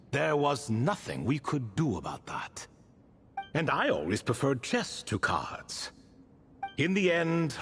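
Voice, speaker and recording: A man's voice: mid-range voice, a bit bright, a little hiss or hum, exaggerated voice. An actor playing an ancient proud male spirit retainer bound in a relic, telling his tale with dry amused asides in a video game cutscene. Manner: wry, stately storytelling with dry amused asides.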